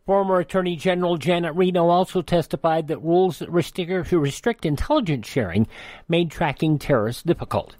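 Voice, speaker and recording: A man's voice: fairly high pitch, a little mellow, no echo. A man hosting a radio program, reading out dates from history in a conversational way with dry asides. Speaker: radio host.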